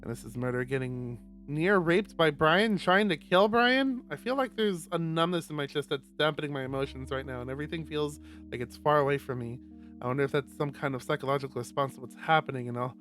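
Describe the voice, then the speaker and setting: A man's voice: on the high side, fairly bright tone. A man streaming a visual novel, reading first-person fiction aloud. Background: guitar music.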